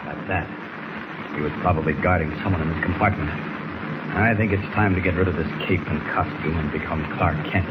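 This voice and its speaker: A man's voice: deep voice, moderate pace, highs cut off, natural voice. A man playing a bold, mocking American superhero in a confident, declamatory 1940s radio acting style. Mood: confident, purposeful. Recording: very noisy 1940s radio recording.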